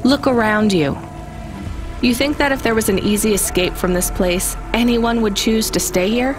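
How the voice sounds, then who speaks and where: A woman's voice: fairly high pitch, moderate pace, music in the background, lightly acted. A woman playing a weary, guarded but caring young female delivery driver in a video game, with a dry, informal manner. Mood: bleak, weary.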